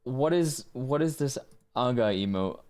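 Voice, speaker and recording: A man's voice: mid-range voice, expressive, a little slow, a little mellow. A man who works as a voice actor and streamer, giving casual live commentary and musing aloud about how words sound. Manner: repeats phrases aloud to study accents.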